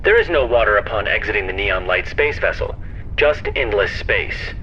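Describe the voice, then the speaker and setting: A dutiful little robot shipmate, formal and super literal, pitch jumps around, noisy ship sounds behind. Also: male voice, expressive, normal speed, bright, forceful tone, dull audio. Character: literal-minded, dutiful small robot crewmate. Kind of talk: science fiction audio drama acting.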